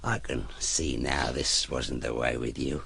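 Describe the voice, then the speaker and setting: An actor in an audio drama playing a cunning, menacing ruffian henchman with rough, slangy, muttering speech that drops into whispers. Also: rumbling low, steady tone, a little slow, bright, forceful tone, heavily acted. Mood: wheedling. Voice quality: gravelly. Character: cunning, menacing cockney-style ruffian henchman, excitable and wheedling.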